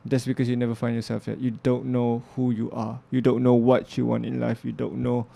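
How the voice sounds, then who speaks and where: Young male interview guest; gravelly, mellow-toned, earnest, stops and restarts mid-thought. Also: mid-range voice, monotone. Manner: reflective, earnest, halting with restarts and fillers. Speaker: interview guest, filmmaker and music producer. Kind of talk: casual interview talk.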